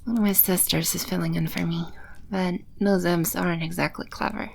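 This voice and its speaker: Female performer as teasing demon empress for ASMR roleplay: lowish pitch, heavy vocal fry, coy and self-assured. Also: steady tone, a little hiss or hum. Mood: relaxed, candid. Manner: unhurried, coy, teasing, confident. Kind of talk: ASMR audio roleplay, spoken close to the listener.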